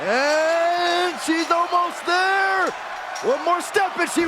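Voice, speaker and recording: An actor playing an excitable male wrestling commentator, calling a video game match. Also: very high voice, slow. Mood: shouting, suspenseful. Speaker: ringside commentator. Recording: loud arena crowd noise.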